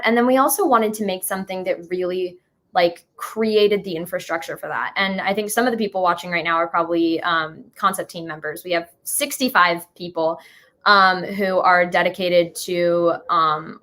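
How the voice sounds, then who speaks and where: A woman's voice: on the low side, fairly bright tone. A woman in her twenties talking casually as an interview guest, in a chatty, informal way. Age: 20s. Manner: chatty, informal, hedging with "like" and "sort of". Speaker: musical writer and guest being interviewed.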